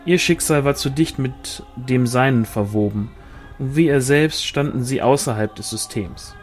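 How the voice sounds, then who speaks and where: A man's voice: medium pitch, moderate pace, faint music behind. A man reading a fantasy novel aloud as scripted audiobook narration. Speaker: audiobook reader.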